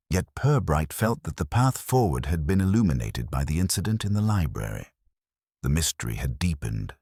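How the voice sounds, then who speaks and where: Male narrator, very low pitch, expressive intonation, formal measured storytelling, scripted mystery fiction. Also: no echo, clean studio sound.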